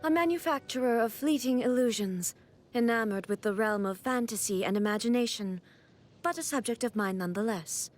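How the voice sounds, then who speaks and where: An actress voicing a regal, solemn female deity of thunder and eternity for a video game, speaking in a formal, stately, measured way. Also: fairly high pitch, natural voice. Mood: stately, composed. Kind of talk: scripted video game voice lines.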